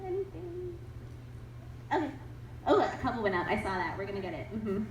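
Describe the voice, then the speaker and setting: Young woman author taking audience questions, animated and upbeat, heard from a distance in an echoey hall with faint background noise. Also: a little high, fairly quick. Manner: animated, laughs often, upbeat. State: has a cold. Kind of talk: casual Q&A talk. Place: event hall.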